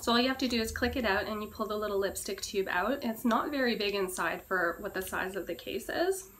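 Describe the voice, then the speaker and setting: A woman with a hoarse, cracking voice she says she is losing, chatting casually through a beauty product review and often clearing her throat. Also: medium pitch, animated, brisk, fairly bright tone, a little hiss or hum. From Canada. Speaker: beauty vlogger.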